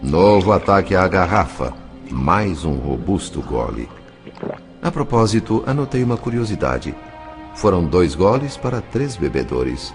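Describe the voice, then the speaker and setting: A low-voiced man narrates an audiobook in a scripted, dramatic literary style. His intonation is animated and varied. Soft music runs behind him. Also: a little slow.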